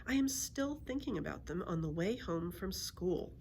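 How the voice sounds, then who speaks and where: A woman's voice: on the low side, expressive, normal speed, vocal fry, darkish tone, a little hiss or hum. A woman reading a children's book aloud as a storyteller, expressively acting out the dialogue.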